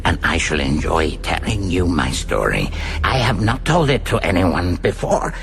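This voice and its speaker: A sinister megalomaniac villain in a radio drama, with a low, gravelly voice that swoops dramatically from murmur to bellow. He is formal, cold and grandiose, weighing each word with menace. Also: sing-song, a bit bright, exaggerated voice. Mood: menacing. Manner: theatrical, formal, deliberate, menacing. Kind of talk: broadcast radio drama acting.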